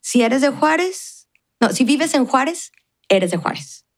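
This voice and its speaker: A woman's voice: high voice, sing-song, measured pace. A middle-aged woman from the border region, a podcast guest talking earnestly and reflectively, with many fillers. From Mexican border region. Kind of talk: casual conversation.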